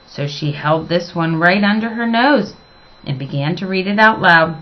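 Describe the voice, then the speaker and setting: A woman reading a children's storybook aloud as expressive narration, with dramatic, emphatic phrasing. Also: fairly low pitch, moderate pace, muffled recording.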